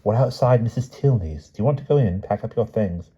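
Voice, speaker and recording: A man's voice: fairly low pitch, animated, fairly quick, mellow, husky, pitched above the performer's own voice. A man voicing an anxious, caring niece in an audiobook story, questioning in an urgent manner. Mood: concerned, probing. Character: anxious, caring, inquisitive niece of a frail aunt. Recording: dull, muffled audio.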